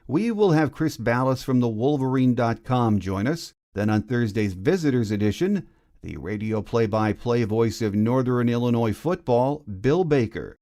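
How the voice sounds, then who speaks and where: A man's voice: medium pitch, dark tone. A man hosting a sports podcast, delivering a scripted game preview.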